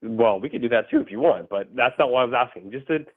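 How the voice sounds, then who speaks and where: A man in his thirties calling in, talking in a halting way with many fillers. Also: mid-range voice. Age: 30s. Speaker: caller. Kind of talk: casual call-in debate. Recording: tinny phone line.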